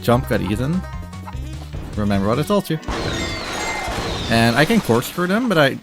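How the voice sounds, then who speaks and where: Halting male speedrunner over game music. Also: medium pitch, very animated. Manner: halting, rambling, self-interrupting. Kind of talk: casual live gameplay commentary.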